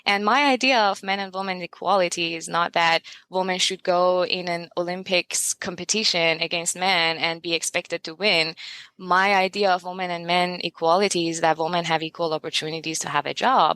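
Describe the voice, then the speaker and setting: A young adult woman from Iran, a podcast guest talking in casual interview chat, animated and emphatic, laughing often and asking pointed rhetorical questions. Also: lowish voice, no room sound.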